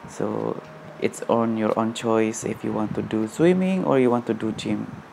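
A man in his thirties talking casually to a handheld phone in a large indoor gym, halting with many fillers, a little out of breath after jogging. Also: lowish voice, gravelly, darkish tone, slight background noise. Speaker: vlogger. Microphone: phone at arm's length.

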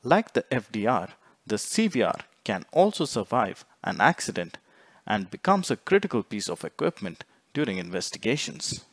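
A man reading scripted instructional narration in a measured, explanatory way, phrase by phrase. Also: on the low side, very animated, no room sound. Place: close, dry voice-over recording.

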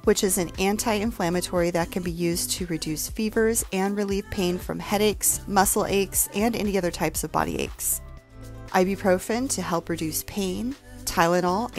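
A woman in her thirties giving clear, practical hiking advice to the camera in a forest. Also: lowish voice, far from the mic. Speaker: hiking vlogger. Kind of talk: scripted instructional talk to camera. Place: outdoors in a forest.

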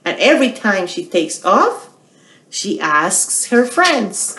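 A middle-aged woman hosting a storytime for small children, teaching bilingually and repeating phrases in a deliberate, questioning way. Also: mid-range voice, sing-song, a bit bright, echoey room, slight background noise, rough recording. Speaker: children's storytime host at a public library. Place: small quiet indoor room.

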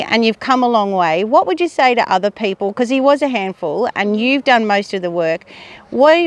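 A woman with an Australian accent interviewing casually outdoors, in a familiar, chatty way that prompts the other person along. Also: medium pitch. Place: outdoors at pony club grounds.

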